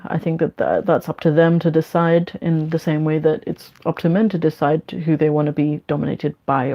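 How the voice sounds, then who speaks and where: A woman with a London accent hosting a casual question-and-answer session, speaking in a frank, measured way. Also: sits low. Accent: London English. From London, UK; born in Bangladesh. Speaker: online Q&A host. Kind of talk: casual spoken Q&A, reading questions and giving opinions. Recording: dull, lo-fi sound.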